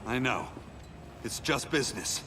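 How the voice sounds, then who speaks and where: An actor playing a principled, weary speedster hero in a dramatic video game cutscene. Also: male voice, mid-range voice, animated, measured pace, over music, close to an everyday voice. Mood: confrontational. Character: earnest male superhero speedster, principled and weary.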